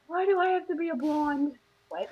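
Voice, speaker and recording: A woman's voice: high voice, moderate pace, a little mellow, lo-fi sound. A woman playing a tabletop role-play, talking in blunt, casual banter. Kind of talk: casual banter during a game.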